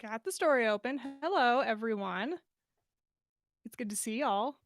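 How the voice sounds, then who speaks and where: A woman's voice: fairly high pitch, expressive, normal speed, faint music behind. A woman hosting a livestream, chatting casually and reading fan fiction aloud in a bubbly, self-deprecating way. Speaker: podcast host and story reader.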